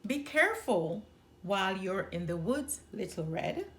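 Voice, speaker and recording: Woman playing a firm, slow-spoken cautioning mother. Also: mid-range voice, very animated, a bit bright, echoey room.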